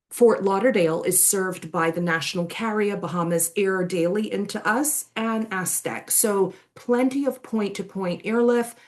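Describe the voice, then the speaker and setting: A woman from Barbados and England giving an effusive, informal sales presentation in colorful, colloquial wording. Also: mid-range voice. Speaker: resort sales and marketing director, guest presenter.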